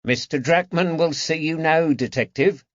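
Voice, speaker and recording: A proud, protective old shopkeeper acted out by a male narrator for an audiobook. He talks curtly and colloquially with dropped h's, pitched up, on a dry, dull recording. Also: normal speed, no echo, natural voice. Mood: formal.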